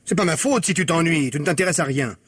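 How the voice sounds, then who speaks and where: A man's voice: on the high side, fast, husky. An actor playing an irritable, preoccupied businessman and husband with evasive, curt replies, in the style of 1950s French radio mystery drama. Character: irritable, preoccupied married businessman, secretly having an affair.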